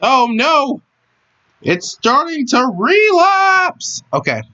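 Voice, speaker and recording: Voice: sits high, sing-song, slow pace, sharp tone, lo-fi sound. A streamer with a whiny voice, chatting casually and rambling, with phlegm in the throat and sudden yelps. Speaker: live streamer.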